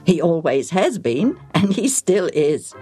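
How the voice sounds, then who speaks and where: A woman voicing an earnest, measured father, a peacemaker in a storybook tale. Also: on the low side, sing-song, mellow, soft background music. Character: peacemaking father who admires his hero.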